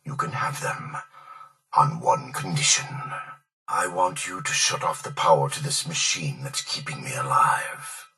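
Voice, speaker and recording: An actor playing a proud, bitter old tycoon kept alive by a machine in a video game, theatrical and self-pitying. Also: male voice, low voice, measured pace, sharp tone, recorded from a distance, full character voice, low-quality recording. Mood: weary, pleading. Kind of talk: dramatic video game voice acting.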